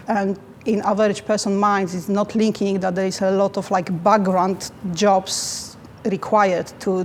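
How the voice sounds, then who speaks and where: A woman with a Polish accent, a native Polish speaker, answering interview questions as a finance manager in careful, halting phrasing. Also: medium pitch, a little mellow, slight background noise.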